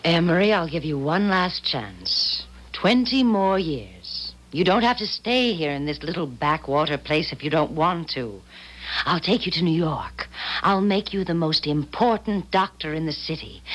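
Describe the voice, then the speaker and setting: Haughty, tempting devil's agent, animated delivery. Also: female voice, on the low side, a little slow. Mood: commanding, tempting. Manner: haughty, insistent. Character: imperious female devil's agent, proud, tempting bargainer. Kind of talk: 1970s radio drama acting.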